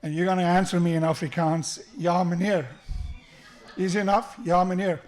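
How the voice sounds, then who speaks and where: Middle-aged South African man, slightly high voice, self-deprecating wedding speech with restarts. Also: little pitch movement, moderate pace, darkish tone. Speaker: father of the groom giving a wedding speech. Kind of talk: wedding toast. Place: wedding reception hall. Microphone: handheld microphone through the sound system.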